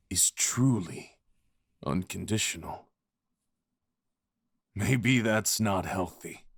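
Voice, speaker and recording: A man playing a devoted, possessive fox spirit husband in an intimate ASMR roleplay, rambling softly and tenderly with murmured endearments. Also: lowish voice, husky, no room sound, clean studio sound, heavily acted. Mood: adoring, intense.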